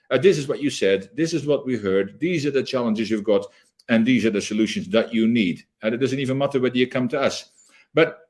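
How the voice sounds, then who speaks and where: A man being interviewed as a leadership trainer, explaining in an emphatic, conversational way with quoted examples. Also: medium pitch.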